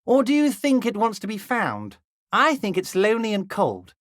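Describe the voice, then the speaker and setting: A man voicing a scheming middle-aged union boss in a video game, folksy and wheedling, friendly on the surface with a quiet threat underneath. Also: high voice, wildly swooping pitch, moderate pace, no room sound, studio quality. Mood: wheedling menace. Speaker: game character voice.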